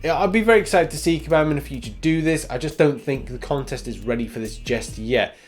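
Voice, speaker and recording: A man with a slightly high-pitched voice delivers gaming news as a host. He talks in a chatty, informal way and leans into his headlines with emphasis. The delivery is casual commentary aimed straight at viewers. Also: fast, faint music behind.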